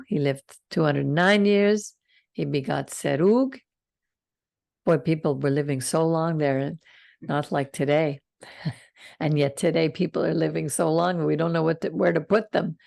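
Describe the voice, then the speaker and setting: Older lady leading scripture study on a video call, low gravelly voice, slow and calm, dry sound. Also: no room sound. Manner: slow, calm reading with side comments. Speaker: Torah study host. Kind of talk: reading scripture and teaching.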